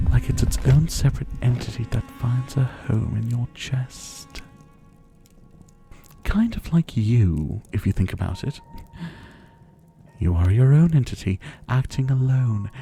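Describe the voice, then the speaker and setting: Give this one to a suave, possessive vampire, played by a man, in an intimate ASMR roleplay. The voice is gravelly and unhurried, with very animated pitch. He toys with his captive in a theatrical, teasing, softly menacing way. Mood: menacing, intrigued. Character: older male vampire captor, former doctor, suave, possessive. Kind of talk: ASMR roleplay acting to the listener.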